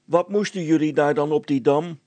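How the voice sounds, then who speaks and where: A man voicing a suspicious, grumbling river skipper in an audiobook story, calling out loudly. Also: a little high, moderate pace, no echo, pitched above the performer's own voice, lightly acted. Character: suspicious, gruff Dutch river barge skipper, shouting.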